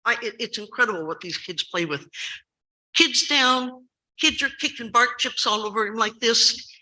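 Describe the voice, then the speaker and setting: A woman in her seventies giving an urgent public comment at a school board meeting. She tells a story emphatically, repeats key words for stress, and has a loud, carrying voice. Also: on the high side, moderate pace, rough recording. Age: 70s.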